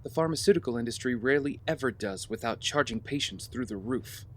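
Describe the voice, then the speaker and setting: A man's voice: mid-range voice, animated, fairly quick, natural voice. A man playing a brooding, disillusioned young vigilante in an audio drama, with a weary, earnest manner. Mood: guarded. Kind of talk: scripted audio drama acting. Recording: clear background noise with ambience.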